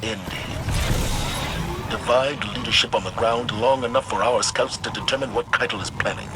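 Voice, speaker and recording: A man acting as a stern military commander in a video game, with a mid-pitched voice. He gives mission orders with clipped, urgent authority. Loud music runs under the dialogue. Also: moderate pace, natural voice. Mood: grim. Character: stern military commander briefing a soldier over the field.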